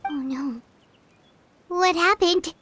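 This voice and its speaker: A woman voicing a small, earnest, curious childlike companion in a video game, speaking in an eager, questioning, squeaky way. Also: slow, a bit bright, slight background noise, heavily acted. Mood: worried. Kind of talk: animated video game dialogue.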